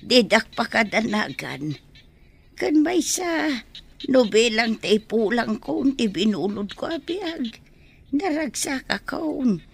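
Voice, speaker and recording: Elderly Ilocano grandmother, slow and gravelly, gentle, loving, radio drama. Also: a little high, very animated, full character voice. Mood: tender, frail. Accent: Filipino, Ilocano.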